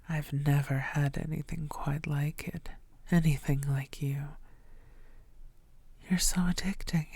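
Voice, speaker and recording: A woman playing a possessive, menacing female vampire in an intimate ASMR roleplay, teasing and often slipping into breathy half-whispers. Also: low voice, mellow, dry recording, full character voice. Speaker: audio roleplay voice actor.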